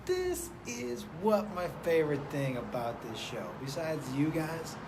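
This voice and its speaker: A man hosting a casual live stream, chatting loosely and profanely, reading out viewer names and rambling. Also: a little high. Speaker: host of a live stream show. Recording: noticeable background noise.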